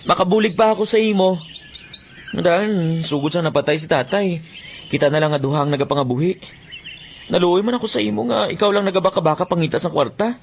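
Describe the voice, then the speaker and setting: A man's voice: sits high, fairly quick, slight background noise. A man with a Western Visayan Filipino accent playing an eager, greedy young bird catcher, a gleeful son, in radio drama. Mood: pleading. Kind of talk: Philippine radio drama acting.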